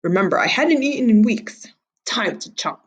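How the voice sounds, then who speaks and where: A woman's voice: on the high side, big pitch swings, moderate pace, slightly rough, pitched above the performer's own voice. A woman voicing a sly, hungry storybook wolf who narrates his tale to children in a wry, theatrical, confiding way. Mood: hungry, scheming.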